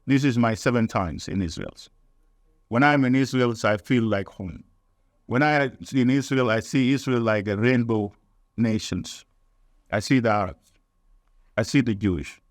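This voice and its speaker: A man from Sudan, giving deliberate, emphatic interview answers in nonstandard English. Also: mid-range voice, dry recording, studio quality. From South Sudan. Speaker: guest, activist.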